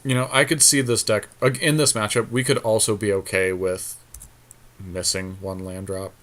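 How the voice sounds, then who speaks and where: A man with a fairly low voice commentates casually while playing a card game. He deliberates out loud in an understated, matter-of-fact manner. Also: flat delivery.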